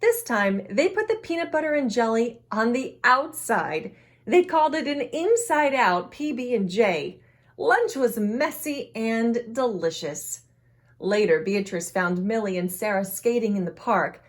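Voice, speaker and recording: A woman with a fairly high voice reads a children's storybook aloud. Her delivery is expressive and swings widely in pitch, animated for young listeners. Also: a little slow. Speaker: children's storytime host and reader. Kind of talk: expressive read-aloud of a children's picture book.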